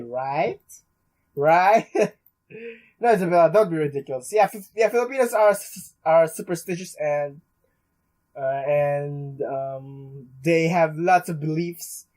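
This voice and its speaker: Higher-pitched young man reading game text. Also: unhurried, darkish tone. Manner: stumbling over words, repeating them. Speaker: let's play host. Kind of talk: reading game text aloud with casual commentary.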